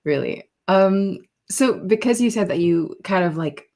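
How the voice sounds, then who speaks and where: A woman's voice: mid-range voice, a little slow, darkish tone. A woman hosting a podcast, interviewing in a casual, informal and affirming conversational way.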